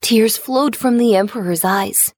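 A woman's voice: fairly high pitch, measured pace, a little mellow, no echo. Woman narrating a fairy tale, close and dry. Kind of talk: scripted children's storybook narration.

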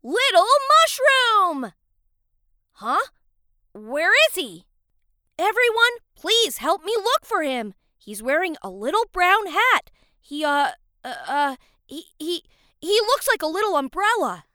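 A woman's voice: extremely high pitch, very animated, takes it slowly, sharp tone, dry recording, studio quality, heavily acted. A woman voicing a spirited, bossy talking vegetable with exaggerated, exclamatory delivery in a children's audio story. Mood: worried.

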